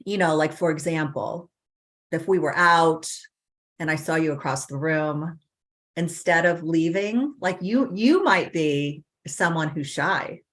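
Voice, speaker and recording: A woman's voice: deep voice, a little slow. A middle-aged woman on a live stream interview, talking earnestly with many casual fillers as a dating coach. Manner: earnest, animated, many fillers like "you know". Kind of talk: conversational interview. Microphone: remote call on a live stream.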